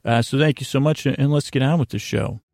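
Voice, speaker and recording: Male sleep-podcast host: gravelly fry, dry close sound, lulling, halting, meandering delivery. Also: medium pitch, fairly even intonation, darkish tone, dry recording, soft background music. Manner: meandering, halting, with pauses and repeated words; soothing, lulling. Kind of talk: rambling bedtime monologue to lull listeners to sleep.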